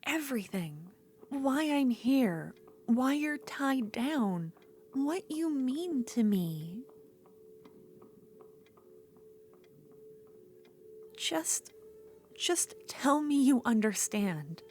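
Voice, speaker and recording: Slow, obsessive yandere rival played by a woman. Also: high voice, expressive, darkish tone, faint music behind. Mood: desperate, intense. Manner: slow, intimate, often dropping into breathy whispers. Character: obsessive, unhinged female yandere duelist rival. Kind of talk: ASMR fantasy roleplay monologue.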